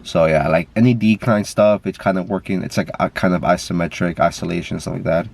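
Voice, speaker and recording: A man's voice: low-pitched, steady tone, fairly quick, vocal fry, darkish tone. A man with a stuffy nose, talking in a casual, rambling way with lots of fillers and swearing, filmed on a camera while driving. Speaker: vlogger. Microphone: camera mic.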